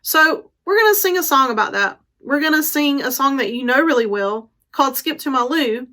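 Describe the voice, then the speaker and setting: A woman's voice: high-pitched, lively intonation, moderate pace, pushed and bright. A woman children's librarian presenting and reading aloud at storytime, speaking directly and simply to young children with encouraging invitations.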